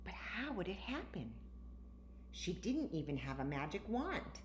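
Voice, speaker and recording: A woman reading a children's story aloud with animated, emphatic narration. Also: on the low side, a little slow. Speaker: storytime reader. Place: indoor room, recorded at home. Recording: roomy, echoey, faint background noise.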